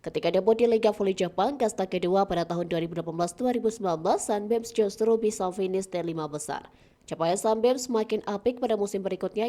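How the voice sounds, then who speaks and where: A woman narrates a sports news piece from a script, with a mellow, warm tone. Her delivery is even and read-aloud, easing down at the close of each sentence. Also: medium pitch.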